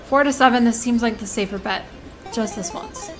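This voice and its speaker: High-voiced woman gamer, playful and cocky. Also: normal speed. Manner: playful, cocky, exclamatory, giggly. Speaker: game streamer playing and reacting. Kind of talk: casual playthrough commentary. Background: game music and dialogue.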